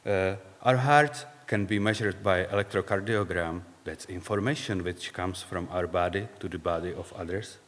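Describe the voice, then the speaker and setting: A man with a Czech accent, speaking English as a second language, gives a reflective personal faith talk in halting, searching phrases. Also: deep voice, a bit bright. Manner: halting, searching for words, non-native phrasing. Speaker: speaker sharing faith story. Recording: long reverb, hall-like.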